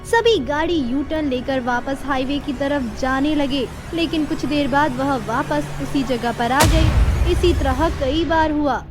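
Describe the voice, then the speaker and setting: A woman's voice: high voice, unhurried. A woman storyteller giving a scripted narration of a horror story. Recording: music under the voice.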